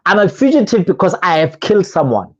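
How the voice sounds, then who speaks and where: A man's voice: high voice, very animated, fairly quick, highs cut off. A motivational coach voicing a reluctant biblical man who doubts himself, speaking hesitantly and pleading.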